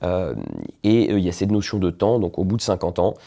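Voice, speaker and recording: A man's voice: sits low, fairly even intonation, fairly quick, warm tone. A man, a lawyer, explaining a legal topic in an informal video, talking conversationally with frequent hesitations and check-ins with the listener. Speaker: lawyer explaining nationality law.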